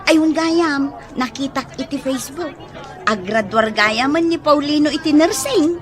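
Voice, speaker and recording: A woman's voice: sits high, expressive, unhurried, crowd noise. An actress playing a friendly, chatty woman neighbor who passes on gossip.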